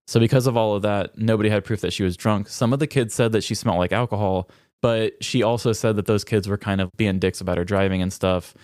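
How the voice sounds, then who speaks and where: A man's voice: on the low side, fairly even intonation, fast, darkish tone, dry recording, clean studio sound. A man co-hosting a podcast, telling stories in casual chat and acting out quoted lines as he goes. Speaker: podcast co-host.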